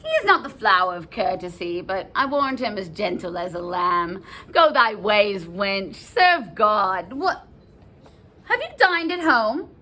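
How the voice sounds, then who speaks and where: A woman's voice: mid-range voice, very animated, unhurried, pushed and bright, slight background noise. A woman voicing a weary, teasing old nurse with groaning, dawdling, melodramatic complaints in stage verse.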